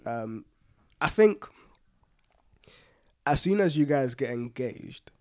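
A man with a London accent and a medium-pitched voice chats as a podcast co-host. His delivery is informal and full of slang, like someone telling personal stories to friends. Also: expressive, slow pace, darkish tone. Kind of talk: casual chat, personal anecdotes.

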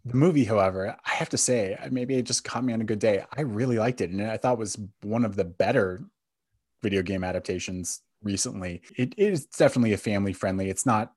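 Low-voiced man hosting a podcast. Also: fast talker, a little mellow, slightly rough, no room sound, clean studio sound. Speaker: podcast host. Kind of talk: casual film and game podcast chat.

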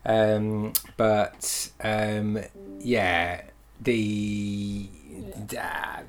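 A man's voice: fairly low pitch, little pitch movement, drawn-out words. A man co-hosting a music show, introducing a band in a dry, hesitant way with many fillers. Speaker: radio show co-host. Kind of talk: casual chat introducing a track.

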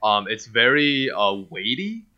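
A man from China whose first language is Mandarin, a game studio owner chatting as a podcast guest, halting with many fillers and restarts. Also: mid-range voice, very animated, muffled recording. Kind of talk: casual interview chat.

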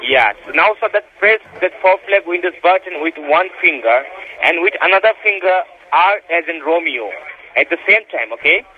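A man's voice: fairly high pitch, animated, moderate pace. A man giving repetitive, insistent step-by-step instructions as a tech support caller, addressing the listener as sir over a phone line. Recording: tinny phone line with background noise.

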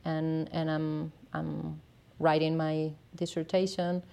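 A Spanish woman with a Spanish accent, a native Spanish speaker, chatting as a guest in a casual interview, self-deprecating and quick to laugh. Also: low voice, little pitch movement, creaky, dark tone. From Spain. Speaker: artist and researcher guest.

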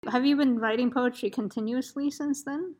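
A woman's voice: high-pitched, normal speed, darkish tone. A woman interviewing a guest, asking questions and responding with frequent short acknowledgements. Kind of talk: conversational interview.

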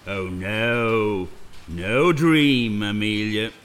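An actor playing a sinister, courteous kidnapper in a radio drama, measured and mock-polite. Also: male voice, on the low side, fairly bright tone, clean tone. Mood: silky, menacing. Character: sinister, courteous male kidnapper, coldly teasing.

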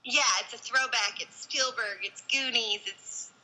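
A young woman in casual conversation as a podcast co-host, chatty and quick to laugh. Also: fairly high pitch, bright, forceful tone, rough recording. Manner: giggly, chatty, trails off into laughs. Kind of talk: casual chat.

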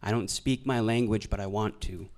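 A young man from Alberta, doing stand-up storytelling on a stage microphone in a deadpan, halting, self-deprecating way. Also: lowish voice. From Alberta, Canada. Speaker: stand-up comedian. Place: live venue stage before an audience.

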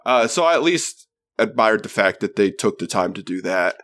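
A man's voice: fairly low pitch, expressive, moderate pace, a bit bright, soft background music. A man giving a casual, rambling movie review on a podcast. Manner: rambling, lots of fillers and restarts. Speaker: podcast co-host.

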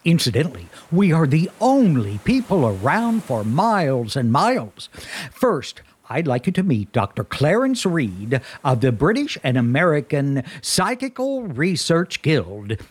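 An actor playing a showy ghost-hunting broadcaster, announcing in a 1940s radio drama. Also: male voice, on the high side, very animated, unhurried, breathy edge, full character voice. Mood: presenting. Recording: old radio recording, loud music behind.

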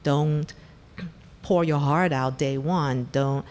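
Low-voiced woman from Taiwan. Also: steady tone, takes it slowly, a little mellow, long reverb tail. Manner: candid, storytelling, gives blunt advice. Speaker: venture investor, interview guest. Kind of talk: conversational interview.

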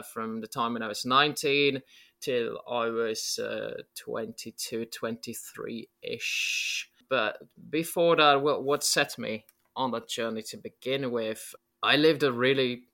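A man's voice: medium pitch, vocal fry, bright, forceful tone. A man, talking casually and reflectively as an interview guest, with lots of filler words. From Poland. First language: Polish. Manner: rambling, reflective, many filler likes.

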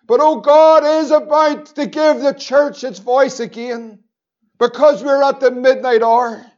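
A Northern Irish man preaching with fervour, his voice sitting unusually high for a man. He repeats phrases and draws words out slowly for weight. The recording sounds dull and lo-fi. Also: fairly even intonation, darkish tone. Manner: fervent preaching, repeating phrases, slowing for emphasis. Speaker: pastor. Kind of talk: sermon.

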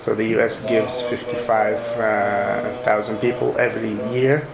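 Gravelly man in an echoey room. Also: fairly low pitch, flat delivery, unhurried. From Sudan. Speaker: interviewee. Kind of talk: casual personal recollection. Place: room in a mosque. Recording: echoey room with ambient noise.

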